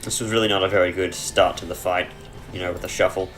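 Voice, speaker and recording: A man giving casual, rambling commentary over a dungeon game on a headset mic, chesty and clearing his throat, with dry humor. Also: low voice, steady tone, fast talker, fairly bright tone, soft background music. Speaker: let's play gamer.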